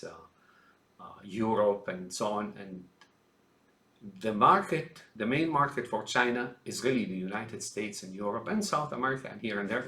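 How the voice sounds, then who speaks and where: A man's voice: medium pitch, reverberant. A man from Syria giving a rambling, emphatic political monologue, often calling his listeners folks. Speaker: commentator.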